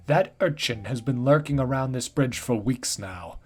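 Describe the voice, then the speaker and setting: Brief: a snarling, contemptuous beat cop, read by a man, low growl. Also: normal speed, breathy edge, close to an everyday voice. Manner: low growling, barking shouts. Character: brutish bullying male police officer. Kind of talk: storybook reading.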